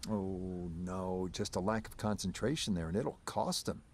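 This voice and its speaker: A man giving calm, measured play-by-play commentary on golf in a video game. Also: low voice, slight background noise. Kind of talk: sports commentary.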